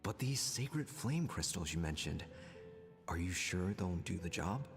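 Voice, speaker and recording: Brotherly fantasy game chief, hearty, friendly, swinging intonation, hall reverb, background music. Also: male voice, deep voice, unhurried. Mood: brotherly, admiring. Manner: friendly, expressive. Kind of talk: video game voice acting. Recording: long hall reverb, music clearly underneath.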